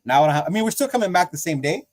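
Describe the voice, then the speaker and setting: A relaxed man hosting a podcast, his voice sitting a little high for a man. He talks in loose slang, laughs easily and keeps the chat rolling with friends. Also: racing. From Mexican heritage. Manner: laid-back, slangy, laughs often. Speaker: podcast host. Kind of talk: casual chat.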